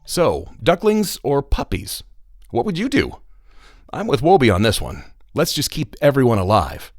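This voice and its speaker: A man's voice: medium pitch, wildly swooping pitch, normal speed, no echo, studio quality. A man narrating a scripted children's podcast as storyteller host, playfully addressing listeners directly.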